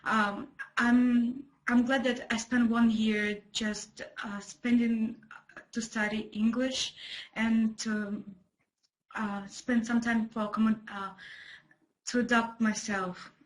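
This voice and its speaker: Young woman from kazakhstan, careful and hesitant, higher voice. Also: flat delivery, slow, rough recording. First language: Russian. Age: young adult. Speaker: student speaker.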